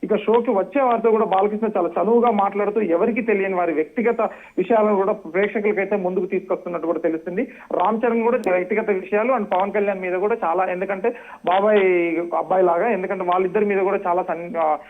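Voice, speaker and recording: A man's voice: high voice, steady tone, fast. A man giving a wordy, unscripted live news report as a reporter over a phone call. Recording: tinny narrow phone line.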